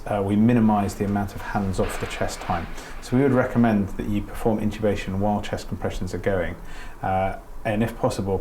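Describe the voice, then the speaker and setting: A man's voice: on the low side, darkish tone, slight background noise. A middle-aged man, a doctor, giving spoken practical instruction in an informal, explanatory manner. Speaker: doctor giving a training demonstration.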